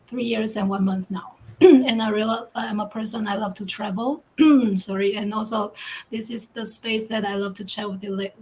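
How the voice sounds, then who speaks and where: A woman from Taiwan chatting casually in an online group talk, speaking haltingly and often clearing her throat. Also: medium pitch, slight background noise, rough recording. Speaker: guest co-host.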